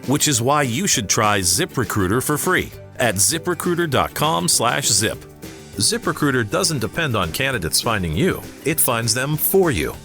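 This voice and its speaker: A man's voice: mid-range voice, very animated, moderate pace, pushed and bright, soft background music. A man narrating a scripted commercial as an announcer.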